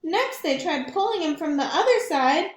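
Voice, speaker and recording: A woman teacher reading a picture book aloud to children in a gentle, slow way, stopping to ask them questions. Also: very high voice, expressive. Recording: roomy echo.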